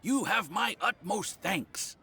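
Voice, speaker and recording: A man's voice: sits high, expressive, slow, bright, forceful tone, slight background noise, full character voice. A man playing an effusive, courteous landlord in a video game, formal and eager to please. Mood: grateful. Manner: chuckly, fawning, swooping, formal. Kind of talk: video game dialogue acting. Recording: music behind the voice.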